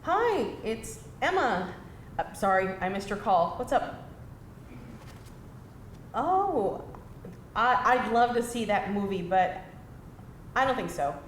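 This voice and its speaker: A woman's voice: mid-range voice, animated, slow pace, long pauses. An actress playing a stressed, apologetic woman of twenty-five in a live screenplay table read, stammering and hesitant. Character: stressed, apologetic 25-year-old woman caring for her grandfather. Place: indoor live table read before an audience. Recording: roomy live stage recording, faint noise.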